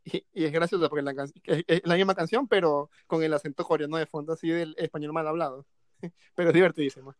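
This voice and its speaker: A man co-hosting a casual music talk, playful and unhurried, often laughing. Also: fairly high pitch, steady tone, no echo, clean studio sound. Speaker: podcast co-host. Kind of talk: casual chat.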